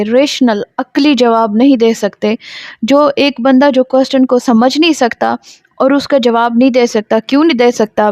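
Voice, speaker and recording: A woman's voice: a little high, darkish tone, no echo. A woman with a Pakistani accent giving an explanatory law lecture, didactic, often asking and answering her own questions and mixing in English legal terms. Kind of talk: explanatory lecture, reading out statutes and glossing them.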